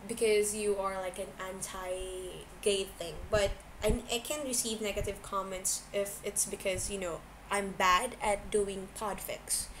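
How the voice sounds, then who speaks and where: An amateur woman reader with an informal, slightly tentative way of talking. Her pitch is average for a woman, and there is faint noise on the home recording. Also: a bit bright, poor audio. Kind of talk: casual introduction and fiction narration.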